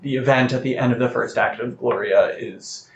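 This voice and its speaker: A man's voice: medium pitch, steady tone, moderate pace. Reflective man in a roomy, muffled recording. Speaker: playwright being interviewed. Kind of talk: thoughtful interview conversation. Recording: roomy, slightly muffled, noticeable background noise.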